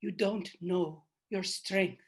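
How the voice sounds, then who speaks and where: A woman's voice: on the low side, fairly even intonation, takes it slowly, breathy edge. A woman voicing the fierce spirit of an ancient female ancestor, stern and commanding in a one-woman stage monologue. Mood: urging.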